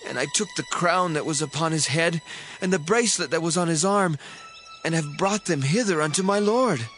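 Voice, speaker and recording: A man playing a high-voiced young Amalekite messenger. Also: normal speed, fairly bright tone, close to an everyday voice. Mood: urgent, pleading. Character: young male Amalekite messenger, fled from battle. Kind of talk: dramatized scripture reading. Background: faint background music.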